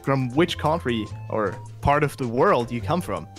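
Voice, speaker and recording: Lively young man, halting speech. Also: medium pitch, faint music behind. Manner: halting, searching for words. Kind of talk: casual chat.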